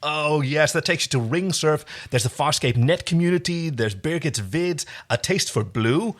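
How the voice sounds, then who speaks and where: A man's voice: fairly high pitch, expressive, normal speed. A man co-hosting a podcast in casual chat, introducing and reading fan fiction. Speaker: podcast co-host.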